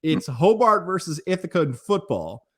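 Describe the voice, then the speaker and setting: Middle-aged American male podcast host, fairly high voice, chatty and effusive, conversational interviewing. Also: very animated, normal speed, a bit bright. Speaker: podcast host, sports broadcaster.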